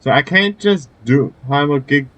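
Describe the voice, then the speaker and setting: A man giving casual, off-the-cuff let's play commentary, halting and repeating his phrases as he goes. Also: on the high side, lively intonation, fairly bright tone. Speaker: gaming video host.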